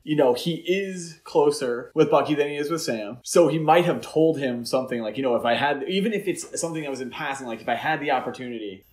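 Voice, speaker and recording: A man's voice: medium pitch, fast talker, hardly any pauses, a little mellow. A man co-hosting a podcast, chatting about films in an easygoing, jokey and conversational style. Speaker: podcast co-host. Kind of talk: casual chat, film discussion.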